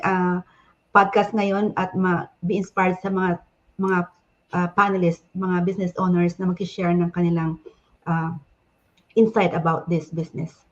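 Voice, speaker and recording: A woman from the Philippines introducing herself cheerfully, a little hesitant. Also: medium pitch. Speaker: panelist. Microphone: video call. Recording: dull lo-fi sound.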